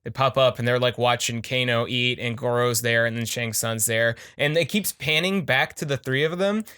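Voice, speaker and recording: A man's voice: mid-range voice, normal speed, sharp tone, smooth. A man co-hosting a casual, joking movie chat, speaking in slangy, sometimes profane language. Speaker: podcast co-host recapping a film.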